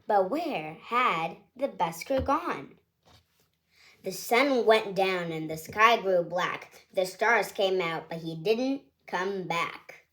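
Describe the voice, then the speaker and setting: Woman reading a picture book to kids, cheerful and chatty, unhurried, singsong rhythm. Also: medium pitch, lively intonation, a bit bright. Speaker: children's book reader, channel host.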